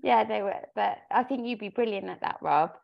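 A woman in her thirties co-hosting a podcast, talking in an animated way with many likes. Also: mid-range voice, fairly quick, vocal fry. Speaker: podcast co-host. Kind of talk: casual chat. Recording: dull lo-fi sound.

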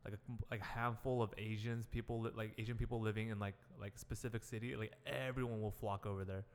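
Halting young man full of "like". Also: on the low side, little pitch movement, long reverb tail. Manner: halting, many "like" fillers. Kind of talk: casual podcast chat.

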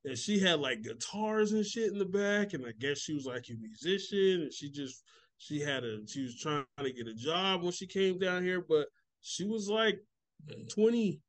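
A man's voice: high voice, expressive, moderate pace, a bit bright. A man on a casual podcast, telling stories loosely with lots of swearing and acted-out quotes. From California. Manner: profane, storytelling with acted-out quotes. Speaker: podcast co-host. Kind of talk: casual chat.

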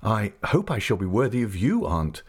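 A polite, dutiful young man, as a male narrator voices him in a storybook. His replies are quiet, deferential and modest, in the reader's own register. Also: on the low side, huge pitch swings, moderate pace, slightly rough, exaggerated voice. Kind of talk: audiobook character voice.

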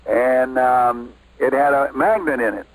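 An older man with an American accent casually reminiscing as an interview guest, halting and repeating himself. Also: medium pitch, no echo, low-quality recording.

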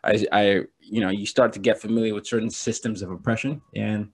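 A man with a fairly low voice speaks as a podcast guest. He is from Nigeria and talks in a relaxed, joking storytelling way. He laughs easily between his stories. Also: fairly quick, husky. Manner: playful, joking, laughs often. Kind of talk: casual storytelling interview.

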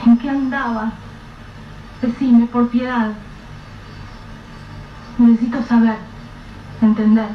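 A woman's voice: fairly high pitch, fairly even intonation, slow, pauses often. A woman playing a distraught young mother whose husband has vanished, snapping and swearing in bursts. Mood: pleading. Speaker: lead character. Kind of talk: radio drama acting. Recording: roomy, dull, noisy radio-play recording.

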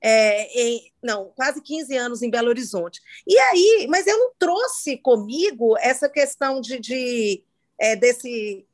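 A woman's voice: fairly high pitch, animated, takes it slowly. A middle-aged woman from Minas Gerais, Brazil, with a faint Minas Gerais accent, hosting a podcast in casual chat, talking chattily with frequent restarts. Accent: faint Minas Gerais Brazilian Portuguese. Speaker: podcast host.

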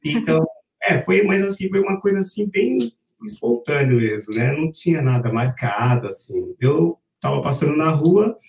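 A man's voice: on the high side, animated, normal speed, darkish tone, distant mic. Brazilian man telling a rambling story. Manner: rambling, frequent "né?" tags. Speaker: interview guest, singer. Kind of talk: casual storytelling. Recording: roomy, from off-mic.

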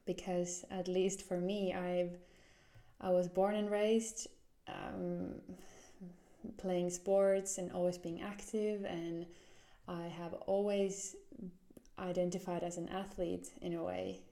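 A woman's voice: lowish voice, level tone, slow pace, vocal fry, warm tone. A woman in her twenties from Finland with a Finnish accent, hosting a solo podcast in an earnest way, often restarting as she searches for words. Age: 20s. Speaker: podcast host.

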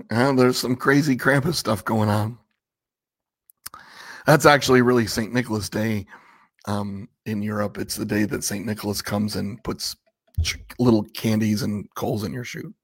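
A man with a slightly raspy voice hosting a casual livestream, rambling conversationally and asking viewers questions. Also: fairly low pitch, fairly even intonation, a little slow, long pauses. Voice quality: a little raspy. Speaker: livestream host.

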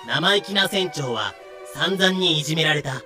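A man narrates a story in a high, bright voice. He reads scripted storytelling lines with light music underneath. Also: lively intonation, normal speed. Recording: music bed behind voice.

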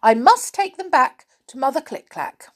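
Woman reader doing a fretful, scatterbrained young woman; high, breathless, stammering. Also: sing-song, normal speed. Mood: dismayed.

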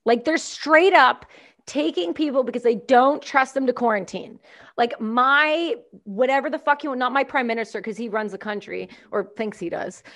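A woman's voice: on the high side, normal speed, a bit bright. Blunt, swearing Canadian woman ranting. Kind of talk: casual chat.

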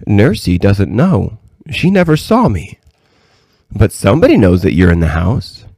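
A man voicing a very old, kindly and mysterious great-great-grandmother in a gentle, patient, measured manner. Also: lowish voice, wildly swooping pitch, vocal fry, slightly rough, no room sound, full character voice.

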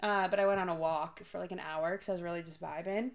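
A young woman co-hosting a podcast in casual chat, sounding stuffy and congested from allergies, chatty and quick to laugh. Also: on the low side, steady tone, over a noisy crowd. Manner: chatty, laughs often, sisterly banter. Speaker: podcast co-host.

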